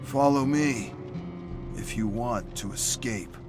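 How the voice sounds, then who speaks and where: A man voicing a weary, knowing police detective in a dramatic story, speaking in a measured, cryptic way. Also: medium pitch, music in the background, close to an everyday voice. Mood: weary, appraising. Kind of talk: dramatic audiobook character voice.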